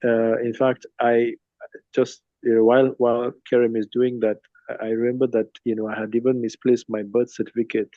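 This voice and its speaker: A man in his fifties from Sri Lanka gives an informal online presentation with slides, speaking haltingly and rephrasing as he goes. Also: medium pitch, level tone, no room sound. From Sri Lanka, later Nigeria. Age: 50s. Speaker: speaker at a cultural talk. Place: online video call. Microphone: phone. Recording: dull lo-fi phone call audio.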